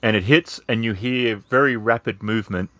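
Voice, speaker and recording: A man narrating a tabletop roleplaying game as game master, measured and building suspense for the players. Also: fairly low pitch, sharp tone, no echo. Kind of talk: improvised second-person narration of a tabletop game.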